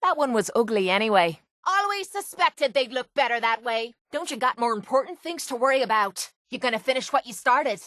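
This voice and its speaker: A woman's voice: fairly high pitch, animated, brisk, few pauses, fairly bright tone, no echo, clean studio sound. A woman voicing a tough, sarcastic young Irish mercenary in a video game, with blunt, cocky, slangy delivery. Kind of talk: video game companion voice lines.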